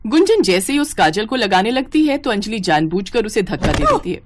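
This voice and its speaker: A woman's voice: medium pitch, sing-song, brisk, background noise. A woman reading scripted story narration as the storyteller.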